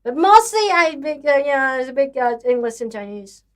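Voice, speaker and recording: High-voiced Vietnamese-accented woman, tired. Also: big pitch swings, moderate pace. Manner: chatty, apologetic, self-deprecating. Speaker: livestream host. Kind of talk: casual livestream chat with singing.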